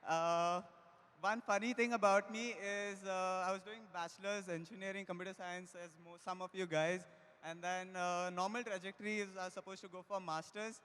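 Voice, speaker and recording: A man's voice: high-pitched, fairly even intonation, normal speed, a bit bright, clean tone. A man in his twenties from India with an Indian accent, casually addressing an audience as a panelist. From Pune, India. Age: 20s. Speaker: startup designer, panelist. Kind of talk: casual self-introduction. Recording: echoey event hall.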